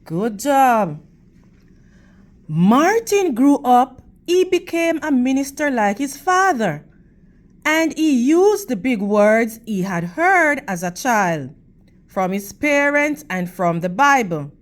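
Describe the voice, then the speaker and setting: Slow, high-pitched woman reading to children. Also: sing-song. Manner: teacherly, addressing young children. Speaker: read-aloud storytime host for children. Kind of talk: children's picture book read-aloud with questions to listeners.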